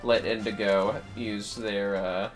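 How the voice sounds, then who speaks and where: A man hosting a livestream, chatting casually and thinking aloud in a rambling, halting way as he plays a game. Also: fairly low pitch, little pitch movement. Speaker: livestream host. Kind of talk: casual chat while playing a game. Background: music.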